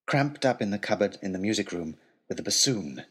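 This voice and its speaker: A man's voice: lowish voice, lively intonation, fairly quick, a bit bright, husky. An actor playing a put-upon, wry male tutor, reading his pompous, wordy, aggrieved letter aloud in a comic audio story. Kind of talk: scripted comic narration as a letter read aloud.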